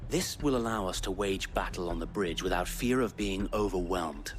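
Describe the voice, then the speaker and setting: An actor playing a loyal, chivalrous knight in a video game, speaking in a measured, courteous, soldierly way. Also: male voice, low-pitched, animated. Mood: confident. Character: loyal, chivalrous knight leading resistance fighters. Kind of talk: dramatic video game acting.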